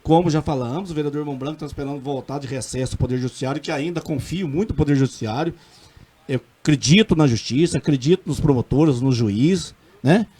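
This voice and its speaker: A middle-aged man with a Minas Gerais accent speaks in a fairly high voice, earnest and emphatic as a councilman on a radio interview. He halts and restarts often but presses his points with conviction. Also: fast talker, dark tone, breathy edge. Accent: Minas Gerais Portuguese. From Brazil. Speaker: city councilman.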